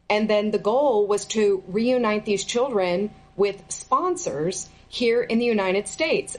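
A middle-aged woman answering interview questions as a former federal employee, speaking earnestly and stressing key words with indignation. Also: a little high.

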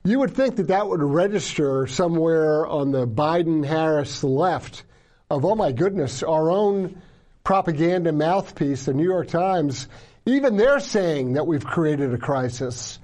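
A male host with a voice pitched a little high for a man, yet with a warm, mellow tone. He talks emphatically and conversationally, often stumbling over his first words as he starts a thought. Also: expressive, measured pace. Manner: emphatic, repeats words when starting a sentence. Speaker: interviewer, show host. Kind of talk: conversational interview hosting.

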